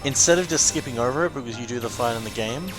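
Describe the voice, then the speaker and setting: A man's voice: medium pitch, fast, poor audio. A man giving casual reaction commentary over game cutscenes, explaining and musing as he goes. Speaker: let's watch host. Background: game music behind the voice.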